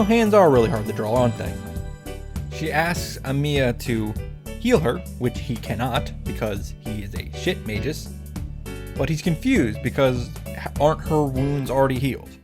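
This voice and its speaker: A man giving casual, scripted commentary as a video creator, wry and joking with sarcastic asides and some swearing. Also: medium pitch. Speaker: video creator recapping and reacting to a game. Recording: music behind the voice. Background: background music.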